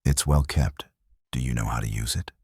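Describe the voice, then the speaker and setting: Guy voicing practical cowboy, really deep, plain-spoken and steady, western audiobook. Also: moderate pace, creaky, breathy edge, no room sound, clean studio sound, full character voice. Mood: steady, cautioning. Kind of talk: storybook Western character reading.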